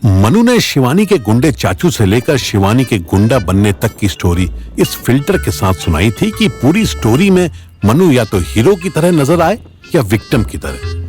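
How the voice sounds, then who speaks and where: A man's voice: medium pitch, a little mellow. A man narrating a scripted audio drama in a dramatic storytelling style. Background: faint background music.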